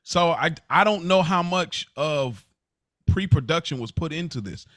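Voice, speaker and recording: A man's voice: fairly high pitch, lively intonation, unhurried, a bit bright, no room sound, clean studio sound. A man in casual, opinionated podcast discussion, talking slangily and repeating phrases for emphasis. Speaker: podcast co-host.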